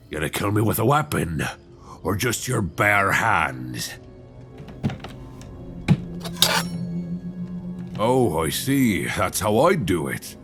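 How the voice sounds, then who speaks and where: A man playing a weary, wry old nineteenth-century lighthouse keeper with halting, folksy speech in a suspense audio roleplay, close on a binaural mic. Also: deep voice, exaggerated voice. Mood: resigned, bitter. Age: older. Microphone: close binaural microphone.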